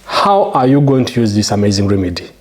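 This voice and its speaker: A man's voice: on the low side, very animated, a little fast, darkish tone. A man with a Ugandan English accent hosts a how-to video. His delivery is emphatic and promotional, walking viewers through steps and repeatedly checking that they follow. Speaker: channel host.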